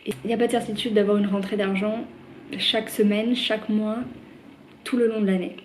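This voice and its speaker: A woman's voice: mid-range voice, level tone, brisk, slight background noise, low-quality recording. A young French woman chatting to camera in a vlog, talking freely with lots of fillers and restarts. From France. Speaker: vlogger. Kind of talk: casual chat to camera.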